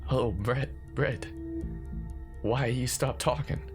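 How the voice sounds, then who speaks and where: A man's voice: mid-range voice, slow, music in the background, lightly acted. A man playing an anxious, grieving older brother recording confessional horror voice notes, jittery, stammering and questioning himself. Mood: frantic, pleading. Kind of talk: found-footage horror audio monologue, confessional voice notes.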